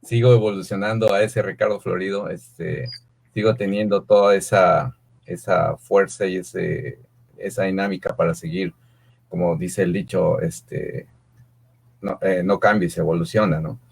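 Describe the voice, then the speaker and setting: A man's voice: deep voice, steady tone, normal speed, vocal fry, a little mellow, slightly rough. Man in his fifties with a Mexican accent. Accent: Mexican Spanish. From Mexico City. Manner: rambling, filler-heavy storytelling. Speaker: guest, swim coach. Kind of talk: relaxed interview answers.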